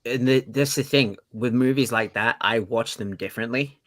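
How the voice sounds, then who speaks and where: A man's voice: medium pitch, normal speed, dry recording. A man talking as a podcast panelist in a casual movie chat, explaining his views earnestly.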